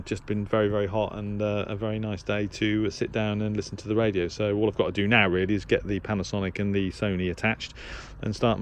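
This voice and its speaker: A man's voice: fairly low pitch, slight background noise. A man giving a casual, unscripted equipment review as a hobbyist presenter, hesitating and thinking aloud as he goes. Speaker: shortwave radio hobbyist presenter.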